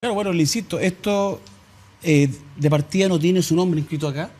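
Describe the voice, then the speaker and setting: A man's voice: high voice, fairly quick, a little mellow. A man with a Chilean accent, an evangelical pastor speaking insistently and defiantly as a guest in a TV studio.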